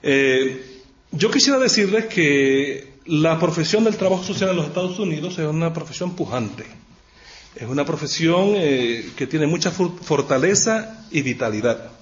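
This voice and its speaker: A man's voice: on the high side, lively intonation, moderate pace. A middle-aged or older man with a Puerto Rican accent, speaking as a guest professor in an informal, good-humoured public lecture. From Puerto Rico. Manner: good-humoured, self-aware asides. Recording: dull, muffled recording with clear background noise.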